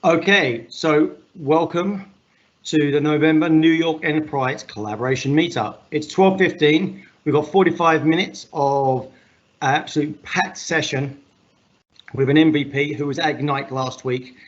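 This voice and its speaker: A man hosts a live online meetup in a loud, projecting voice that sits a little high. He rambles and jokes, full of fillers and restarts. The sound is dull and lo-fi. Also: a little slow. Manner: rambling, filler-heavy, joking. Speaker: meetup host. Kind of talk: casual livestream meetup hosting.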